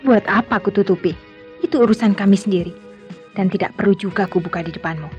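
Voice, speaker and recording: A woman's voice: medium pitch, fast talker, close to an everyday voice. An actress playing a proud, guarded woman doctor with a sardonic streak in an Indonesian radio drama. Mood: cool, sarcastic. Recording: dull radio recording, music behind.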